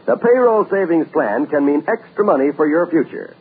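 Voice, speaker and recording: A man's voice: on the high side, expressive, moderate pace, breathy edge. Emphatic vintage radio announcer man. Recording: tinny old radio broadcast with music.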